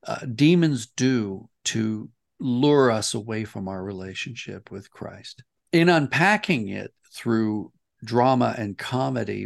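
A man speaking as an interview guest, explaining things in a measured, articulate way. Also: fairly low pitch, vocal fry. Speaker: interview guest, audio dramatist.

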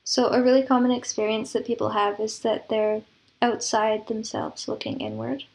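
A woman's voice: a little high, expressive, normal speed, a little mellow. A woman being interviewed, explaining things reflectively with examples and analogies. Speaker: interview guest, composer and audio drama producer.

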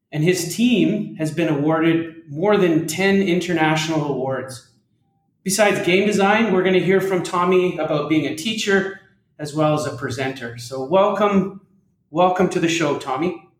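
A male podcast host with a slightly higher-pitched voice, speaking as an interviewer. The recording is roomy, with clear echo around his voice. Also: measured pace. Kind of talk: podcast interview, welcoming introduction. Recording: roomy, echoing sound.

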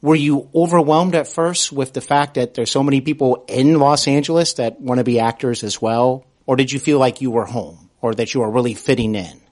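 Man, medium pitch, podcast interviewer, conversational, reflective, hesitant phrasing. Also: brisk, hardly any pauses, darkish tone.

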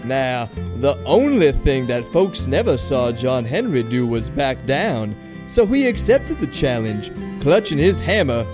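A man's voice: mid-range voice, very animated, measured pace. A man playing a folksy robot storyteller, narrating a tall tale in a drawling, colloquial, emphatic way. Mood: folksy, relishing. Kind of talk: Southern-flavored folk tale narration. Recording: guitar music behind the voice.

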